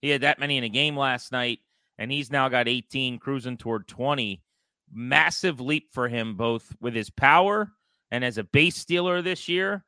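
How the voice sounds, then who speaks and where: A man's voice: medium pitch, moderate pace, bright, forceful tone, clear, resonant tone, no room sound. An American man hosting a baseball show, setting up questions in a sports talk style.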